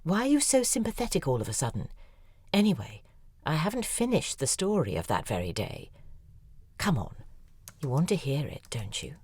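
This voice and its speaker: An actress playing a sharp-tongued woman novelist telling her past in a wry, self-mocking way. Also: low-pitched, wildly swooping pitch, normal speed, husky, no echo, full character voice. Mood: needling. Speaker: lead character in audio drama. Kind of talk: dramatic monologue, audio drama acting.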